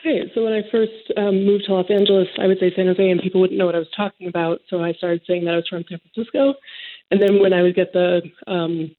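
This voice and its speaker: A man's voice: high-pitched, little pitch movement, fast talker. A young man calling into a radio show, chatting casually with easy laughs. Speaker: caller. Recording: phone line.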